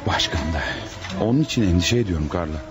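A man's voice: deep voice, lively intonation, fast talker. A male actor in a radio play as a two-faced colonel and secret plotter, anxious and prone to nervous hesitation. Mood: distracted, worried. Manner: nervous, stammering when caught off guard. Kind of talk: radio theater acting.

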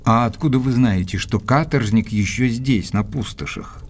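An actor playing a courteous, inquisitive English gentleman doctor in an audio drama, speaking in a measured, polite, articulate way. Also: mid-range voice, husky. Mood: thoughtful. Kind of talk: audio drama dialogue and narration.

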